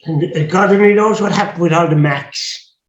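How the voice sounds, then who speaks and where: A man's voice: sits high, moderate pace. A man with an Irish accent hosting a casual interview, musing aloud and often restarting his words. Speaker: host. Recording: echoey room, dull lo-fi sound.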